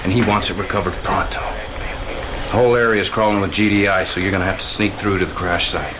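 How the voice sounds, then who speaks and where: Man playing a cocky, low-voiced military officer. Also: steady tone, brisk, noisy background, lightly acted. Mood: urgent, gruff. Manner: brash, slangy, informal. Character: cocky, gung-ho male military field officer, brash and eager. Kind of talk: live-action game cutscene acting.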